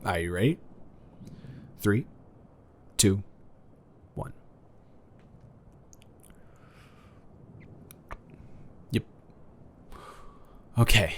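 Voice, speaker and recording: A man's voice: fairly low pitch, a little hiss or hum. A man improvising a romantic audio roleplay as a flirty, playfully boastful neighbor, talking straight to the listener in a teasing, stammering, rambling way.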